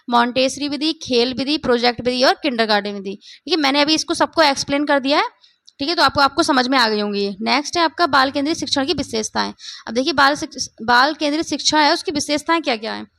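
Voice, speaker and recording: Hoarse woman teacher, high gravelly voice. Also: quick pace, fairly bright tone, no echo. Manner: repeats points, keeps asking "ठीक है?". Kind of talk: exam-prep lecture.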